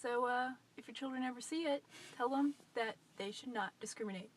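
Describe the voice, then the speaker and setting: A woman's voice: fairly high pitch, fairly quick, a little hiss or hum. A woman in her twenties with an American accent, telling a personal story casually to camera in a chatty, playfully self-deprecating way. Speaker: vlogger. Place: inside a parked car at night. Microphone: phone at arm's length.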